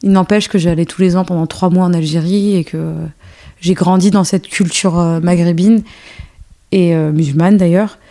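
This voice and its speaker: A woman's voice: lowish voice, fairly even intonation, moderate pace, warm tone. A woman in her thirties, born in Algeria and raised in France, talks casually as a guest with many fillers and restarts. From born in Algeria, raised in a French suburb. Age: 30s. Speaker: guest, choreographer and dance teacher. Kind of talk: casual conversational interview.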